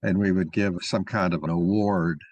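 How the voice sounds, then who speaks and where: Low-voiced man explaining, dry close sound. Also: fairly even intonation, moderate pace, darkish tone, no room sound, muffled recording. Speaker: moderator and main speaker of a mock-trial discussion. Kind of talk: informal explanatory discussion.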